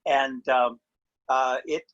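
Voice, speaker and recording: A man explaining his work informally on a video call, halting and often repeating words as he searches for them. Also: medium pitch, level tone, a bit bright. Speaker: panelist, filmmaker and editor of online play. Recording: dull, muffled video-call audio.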